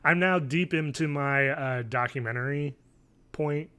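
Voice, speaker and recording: A man's voice: on the high side, unhurried, pushed and bright, clear, resonant tone. A man in his thirties co-hosting a podcast from home, chatting casually in a dry, rambling way. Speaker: podcast co-host. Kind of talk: casual chat. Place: home living room, video call.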